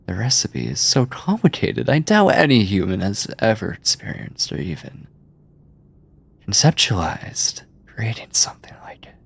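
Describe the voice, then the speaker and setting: A man playing an obsessive mad scientist captor in a horror ASMR roleplay, coldly doting and clinical, with condescending endearments, theatrical pauses and a breathy, husky voice. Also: fairly low pitch, very animated, heavily acted. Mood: gloating, fascinated. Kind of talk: horror ASMR roleplay, intimate monologue to listener.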